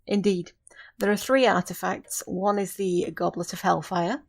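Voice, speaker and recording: A woman's voice: medium pitch, lightly acted. A woman playing an earnest, grieving but insistent witch who mentors a novice in an audio drama, speaking formally and instructively. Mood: solemn, explaining. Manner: measured, instructive, formal phrasing. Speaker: voice actor in an amateur audio drama.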